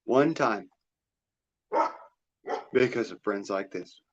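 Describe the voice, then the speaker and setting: A man's voice: fairly low pitch, takes it slowly. An American man talking in a rambling, casual monologue, blunt, folksy and freely swearing. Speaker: livestream host. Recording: dull, lo-fi audio.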